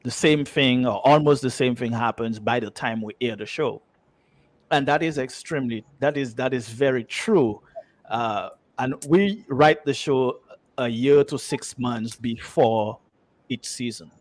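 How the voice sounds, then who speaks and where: A man with a mid-pitched voice talks as a guest writer in an interview. He is conversational and emphatic, drifting into anecdotes and circling back over his phrases. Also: a little slow, dry recording.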